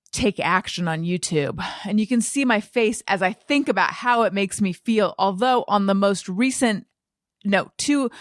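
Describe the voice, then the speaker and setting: A woman's voice: on the low side, no echo, studio quality. An American woman hosting a podcast in a casual, rambling, wry and mock-serious way. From United States (Orange County, California). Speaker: podcast host. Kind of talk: casual podcast monologue and interview chat.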